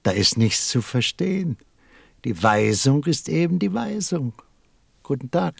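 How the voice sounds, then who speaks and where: An actor playing a weary, dutiful lamplighter in a German audio drama, with a resigned, grumbling, literal-minded manner. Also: male voice, a little high, sing-song, measured pace, creaky, slightly rough, dry recording, studio quality, exaggerated voice. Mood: dutiful, curt.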